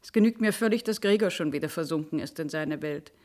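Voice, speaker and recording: An actress playing an anxious, urgent, sharp-spoken woman in a German radio play. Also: deep voice, fast talker, close to an everyday voice. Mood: impatient.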